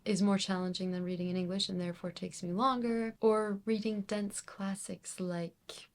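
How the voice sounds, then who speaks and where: An enthusiastic woman talks to her viewers in a casual book-review vlog. Her voice sits at a medium pitch, and her delivery is expressive and conversational. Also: moderate pace. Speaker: book reviewer video host.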